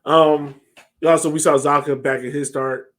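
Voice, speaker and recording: A man's voice: on the high side, level tone, normal speed, a bit bright. A man in his thirties co-hosting a casual sports discussion over a video call, speaking from a room at home. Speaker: podcast co-host.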